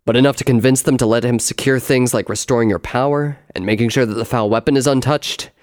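A man voicing a grave, commanding male pony in a storybook, speaking in a measured, emphatic, solemn way. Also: mid-range voice, fairly even intonation, no room sound, studio quality, natural voice. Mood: grave, firm.

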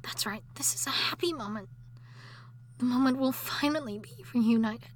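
A woman voicing an obsessive, jealous wife who has come back from the dead, in an audio drama that moves between intimate whispering and shrill shouting. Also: expressive, moderate pace. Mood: seething, nervous. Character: obsessive jealous undead wife, possessive and unstable.

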